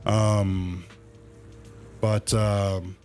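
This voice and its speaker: A man hosts a casual livestream with soft music behind him. His voice sits a little low and he speaks at a slow pace. He thinks aloud as he answers his viewers, often stopping and repeating the start of a phrase. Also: flat delivery, clear, resonant tone. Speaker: livestream host.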